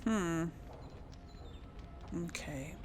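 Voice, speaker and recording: A woman's voice: lowish voice, expressive, extremely slow, background noise. A woman hosting a gaming video, role-playing casually and musing aloud in a hesitant way. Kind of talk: casual role-play reactions over a game.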